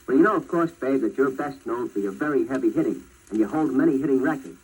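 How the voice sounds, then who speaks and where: A man's voice: medium pitch, animated, quick pace, a little mellow, slightly rough. An American man interviewing a guest in 1940s radio style, heard on a crackly old disc recording.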